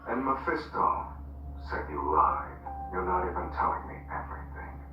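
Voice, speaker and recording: Through echoey, distant game audio with loud music comes a coolly commanding crime boss. He speaks in a deliberate, relaxed way with quiet menace, as a villain in a video game would. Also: low voice, dull audio.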